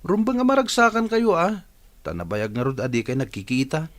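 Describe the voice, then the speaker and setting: A man with an Ilocano accent acting an excitable, good-natured young village son in a radio drama. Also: on the high side, fairly quick, no room sound. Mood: teasing.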